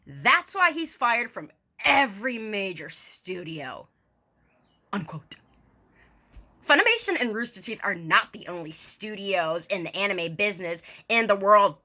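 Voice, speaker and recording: Theatrical, sarcastic woman with lively pitch. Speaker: online video creator doing a dramatic reading. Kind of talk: mock-formal dramatic reading with casual sarcastic asides.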